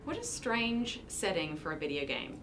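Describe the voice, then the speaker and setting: Lively, chatty woman gaming at her desk. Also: sits low, moderate pace, background noise. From New Zealand. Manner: chatty, self-mocking, exclaims at the game. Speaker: gaming video host. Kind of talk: casual let's-play commentary. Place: small decorated room indoors.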